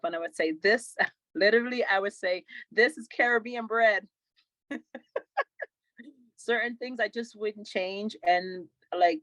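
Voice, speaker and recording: A woman in her forties, born in England, answering interview questions with lively stories and frequent laughter. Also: fairly low pitch, no room sound, poor audio. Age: 40s. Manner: lively storyteller, laughs often. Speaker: guest. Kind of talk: casual interview.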